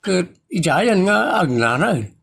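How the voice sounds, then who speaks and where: Man acting a husky, flustered Filipino husband. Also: high-pitched, very animated, unhurried, fairly bright tone, exaggerated voice. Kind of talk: Ilocano audio comedy drama acting.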